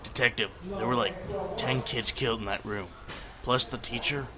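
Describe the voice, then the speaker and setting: An actor playing a tired, laid-back forensic examiner in a conversational radio drama style. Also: male voice, mid-range voice, moderate pace, crowd noise, lightly acted. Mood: resigned, friendly. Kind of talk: conversational audio drama acting.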